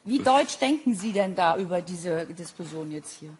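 A middle-aged woman hosting a talk show, asking brisk questions. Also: lowish voice, expressive, a little mellow, a little hiss or hum. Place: TV studio with audience.

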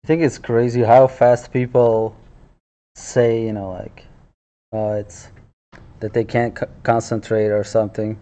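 A man in his thirties chatting casually on a live stream from a small office, with a naturally loud voice and relaxed chuckling asides, into a headset mic. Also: on the low side, unhurried. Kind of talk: casual chat while drawing on stream. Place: small office room, indoors. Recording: dull lo-fi stream audio.

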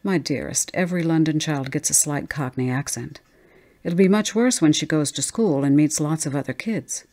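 A woman's voice: low-pitched, normal speed, darkish tone. A woman voicing an easygoing, practical husband in a story, in a breezy, dismissive, reasoning manner.

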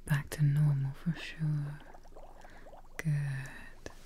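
A young woman playing a kindly witch receptionist in an ASMR role play, speaking very softly in a breathy, whispery, reassuring way, close to the microphone. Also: sits low, a little hiss or hum. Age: young adult. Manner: slow, gentle, reassuring, caring. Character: kindly, soothing witch receptionist tending a guest.

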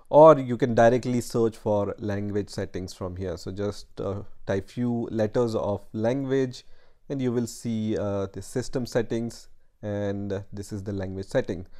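A man narrating a step-by-step computer tutorial over a screen recording, explaining plainly with frequent pauses and "right?" checks. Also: lowish voice, a little mellow. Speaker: tutorial host.

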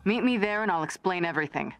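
Low-voiced, cool, guarded spy. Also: female voice, animated, fast talker. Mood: cool, dry. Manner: terse, controlled, dry. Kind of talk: naturalistic video game cutscene acting.

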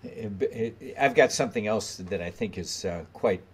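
Male podcast host, low voice, meandering questions, video call. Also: husky. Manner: halting, rambling question phrasing. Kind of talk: interview questions.

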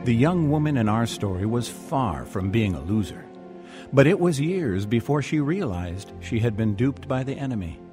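A man announces a scripted radio program with measured drama. His mid-range voice rises and falls widely, over clear music. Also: mid-range voice, big pitch swings.

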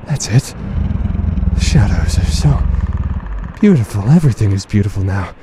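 Man acting very deep, unhinged blinded announcer. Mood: deluded rapture. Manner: theatrical, halting, formal, brooding. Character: unhinged, wounded, blinded male announcer of a dystopia. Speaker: voice actor playing a radio announcer. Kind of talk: dramatic monologue in an audio horror drama. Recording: noisy rumbling ambient bed under the voice.

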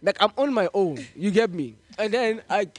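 A young man with a high voice chats animatedly on a podcast in a small studio, close to a stand mic. He keeps checking in with his listeners and slips easily between languages. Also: normal speed, dry recording. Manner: animated, code-switching, keeps asking "you get me?". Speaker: podcast host. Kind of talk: casual chat. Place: small studio room, seated on a couch. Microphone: stand mic in front of the face.

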